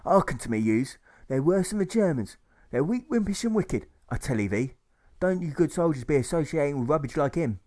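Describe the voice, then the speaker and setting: A man's voice: mid-range voice, brisk, a little mellow, voice pushed up, close to an everyday voice. A man voicing a scornful injured rustic soldier in an audiobook, with exclamatory dialect-laden speech. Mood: scornful ranting.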